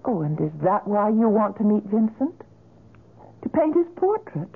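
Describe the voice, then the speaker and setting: A caring female gallery keeper for an old radio play, in a mid-pitched voice. She sounds earnest, gentle and polite. The recording is a narrow, lo-fi vintage broadcast. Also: very animated, normal speed, husky, faint music behind. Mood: polite, curious. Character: kind, concerned woman gallery keeper, loyal friend. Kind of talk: 1970s radio drama acting.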